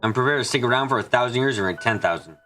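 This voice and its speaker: Fast-talking man reading game narration. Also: mid-range voice, lively intonation, bright, forceful tone, soft background music. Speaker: fan dub reader and narrator. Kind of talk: read-aloud game narration. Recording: faint background noise.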